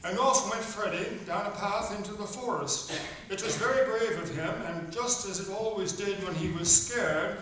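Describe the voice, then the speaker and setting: A man's voice: high-pitched, normal speed, fairly bright tone. A man narrating a children's radio play in a storybook style. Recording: echoey room, distant mic, faint noise.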